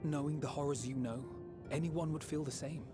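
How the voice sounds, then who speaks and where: A man playing an earnest, compassionate young scholar in a fantasy game, speaking in measured, formal phrases. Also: fairly high pitch, fairly even intonation, lightly acted. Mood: solemn, empathetic. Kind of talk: dramatic fantasy game acting. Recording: orchestral game music behind.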